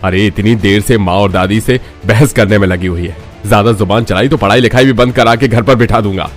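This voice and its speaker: A man's voice: lowish voice, fairly quick, faint music behind, natural voice. A man voicing a harsh, threatening father berating his daughter in a family cartoon. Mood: angry. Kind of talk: Hindi family cartoon voice acting.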